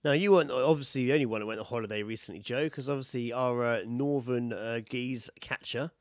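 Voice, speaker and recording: A man's voice: mid-range voice, fairly quick, no room sound, clean studio sound. A British man co-hosting a podcast, telling casual, sweary anecdotes and laughing easily. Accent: British English. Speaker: podcast co-host.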